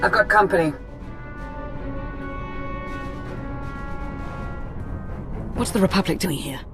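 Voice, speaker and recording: A woman playing a composed, capable field operative in a sci-fi game, speaking in a measured, formal, matter-of-fact way. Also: mid-range voice, lightly acted. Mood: alert, urgent. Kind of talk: cinematic video game acting. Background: prominent music.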